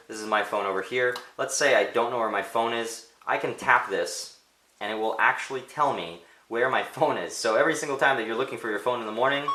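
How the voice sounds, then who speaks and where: A man's voice: mid-range voice, bright, forceful tone, a little hiss or hum. A man, a native Polish speaker, giving a casual unscripted product demonstration as a reviewer, thinking aloud in a conversational way, in a roomy indoor space. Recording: roomy, echoing indoor sound.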